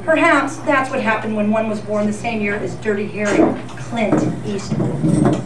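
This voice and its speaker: Woman, fairly high voice, scripted vintage radio news, distant mic, echoey hall. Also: measured pace. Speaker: co-host playing a radio news reporter. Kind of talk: scripted old-time radio news narration. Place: senior center hall. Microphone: picked up from far off. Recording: echoey, noisy, distant live event recording.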